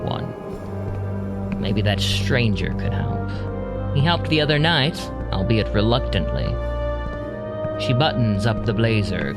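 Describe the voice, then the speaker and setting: A man narrating an audio drama in a deliberate, brooding, scripted storytelling style. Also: on the low side. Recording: loud music bed under voice.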